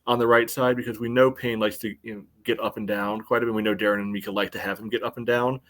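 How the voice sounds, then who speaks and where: A man with a fairly low voice gives casual sports analysis as a podcast co-host. He talks in a halting, rambling way, circling through his thoughts with many fillers. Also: little pitch movement, fairly bright tone. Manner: halting, rambling, very frequent "you know".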